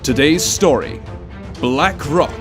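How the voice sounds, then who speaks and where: A man's voice: on the high side, animated, slow, over music. A man narrating a scripted introduction as a formal, dramatic announcer in the style of 1940s radio drama.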